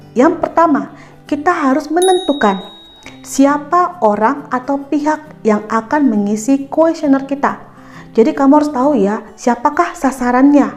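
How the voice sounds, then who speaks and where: A woman narrating a school lesson in an instructive way, addressing pupils directly and checking in with questions. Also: sits high. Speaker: teacher presenting an educational video. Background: music.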